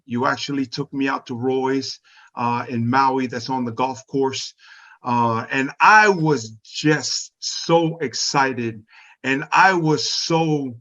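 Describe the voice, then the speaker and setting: A man's voice: mid-range voice, a bit bright. A man hosting a radio-style interview show, speaking in an effusive, gracious manner. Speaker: show host. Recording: dull lo-fi audio.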